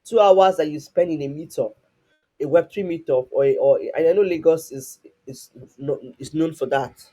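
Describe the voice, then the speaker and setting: A man with a husky voice, teaching a live online class in a rambling way, full of restarts and fillers. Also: a little high, normal speed, dark tone, soft background music. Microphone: online call.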